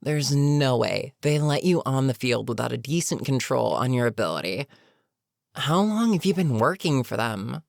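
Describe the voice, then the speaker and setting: A woman playing an older, weary supervillain in an audio roleplay, menacing yet protectively concerned, with a measured, sardonic, sighing delivery. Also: extremely low pitch, animated, vocal fry, darkish tone, dry recording, studio quality, heavily acted. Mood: stern, probing. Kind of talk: dramatic audio roleplay monologue.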